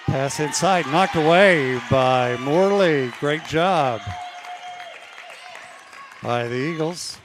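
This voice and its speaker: A man calling basketball play-by-play in a slow, unhurried way, his voice a little high and going hoarse as he clears his throat between calls. Faint gym noise and bouncing balls sit behind him. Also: a crowd in the background. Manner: steady, measured calls that rise on big plays. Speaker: play-by-play announcer.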